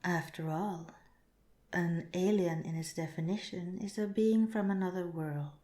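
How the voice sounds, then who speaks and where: A woman voicing a calm, curious alien researcher in a softly spoken, part-whispered ASMR roleplay, with formal, measured, clinical phrasing, in an echoing recording. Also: on the low side, close to an everyday voice. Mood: pedantic, curious. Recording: roomy, echoing voice.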